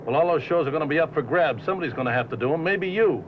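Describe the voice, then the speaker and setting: An actor playing a scheming, bitter showbiz manager in a 1950s film drama, talking in blunt, bossy slang. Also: male voice, a little high, expressive, racing, close to an everyday voice. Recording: muffled, noisy 1950s film soundtrack.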